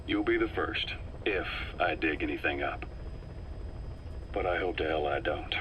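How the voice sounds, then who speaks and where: An actor playing a wary, brooding veteran intelligence operative, giving briefings in a measured, grave manner for a game. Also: male voice, very deep voice, noisy background. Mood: uneasy, skeptical. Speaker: briefing officer. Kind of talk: dramatic game briefing dialogue.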